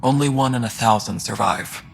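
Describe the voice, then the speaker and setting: An actor playing a thoughtful, fair-minded alien engineer in a video game, speaking in an even-tempered, reflective way. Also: male voice, mid-range voice, moderate pace, creaky, lightly acted. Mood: solemn, reflective. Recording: faint station background noise.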